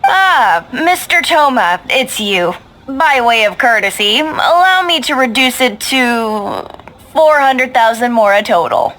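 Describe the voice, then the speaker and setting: A woman's voice: fairly high pitch, animated, takes it slowly, fairly bright tone, slight background noise, rough recording. A woman playing a politely firm, officious female clerk in a video game, formal and businesslike. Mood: courteous. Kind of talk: video game character acting.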